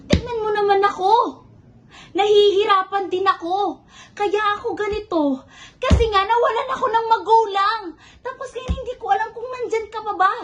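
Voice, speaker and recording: A woman's voice: very high voice, moderate pace, fairly bright tone. A Filipino woman playing a rebellious student, the younger sister in a stage drama, with a theatrical, exasperated, sarcastic delivery. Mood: hurt, angry. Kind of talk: amateur one-act stage play acting in Filipino. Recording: faint background noise.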